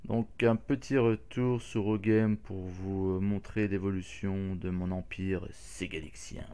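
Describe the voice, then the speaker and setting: A man's voice: low-pitched, little pitch movement, slow pace, dark tone, smooth. A man hosting a casual game walkthrough, talking hesitantly with many fillers and restarts. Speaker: gaming channel host.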